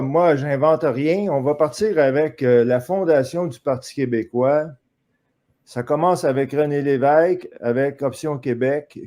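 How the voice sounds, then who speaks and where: Man, Quebec French accent, slow, mellow dark tone, hesitant lecturing guest, video call. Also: medium pitch. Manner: measured, many hesitations, lecturing. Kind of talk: live online interview, explaining history.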